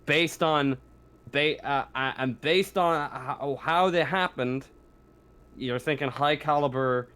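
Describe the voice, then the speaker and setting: A man's voice: a little high, slow pace, fairly bright tone, clean tone. Man running a tabletop roleplaying game. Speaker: game master.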